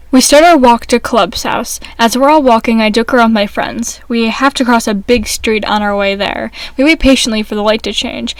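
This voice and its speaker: A woman narrating a first-person fan fiction story aloud in a steady, even reading. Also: a little high, dry recording.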